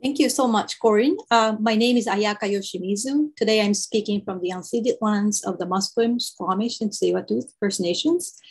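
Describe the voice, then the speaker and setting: Woman with a raspy, creaky voice. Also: mid-range voice. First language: Japanese. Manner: careful, measured explanation. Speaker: workshop facilitator. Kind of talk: online workshop introduction. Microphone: video call.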